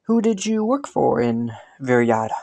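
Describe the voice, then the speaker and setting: A woman playing a low-voiced, courtly young prince. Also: sing-song, moderate pace, heavily acted. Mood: amused, teasing. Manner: polite, hesitant, softly teasing. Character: kind, playful, rambling young prince with courtly manners. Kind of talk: intimate roleplay audio drama. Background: faint music.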